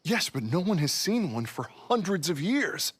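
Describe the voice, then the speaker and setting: Husky, animated explorer. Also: male voice, high voice, normal speed, no room sound, heavily acted. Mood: amazed. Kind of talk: video game cutscene voice acting.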